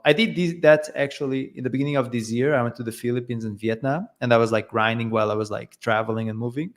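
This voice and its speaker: A man from Portugal, a guest on a livestream, chatting loosely and laughing often. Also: medium pitch. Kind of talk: casual livestream chat.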